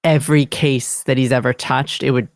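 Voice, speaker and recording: A woman's voice: a bass voice, steady tone, no room sound, studio quality. Emphatic woman podcast guest. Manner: emphatic, opinionated, quick asides. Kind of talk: casual true-crime podcast chat.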